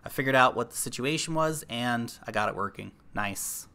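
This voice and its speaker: A young man with an American accent hosts a casual livestream, talking loosely to his viewers with plenty of fillers. His voice is bright and sits at an ordinary middle pitch. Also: normal speed. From New Zealand. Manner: chatty, addresses viewers as guys, thinks aloud with fillers. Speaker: livestream host. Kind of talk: casual livestream chat reading viewer comments.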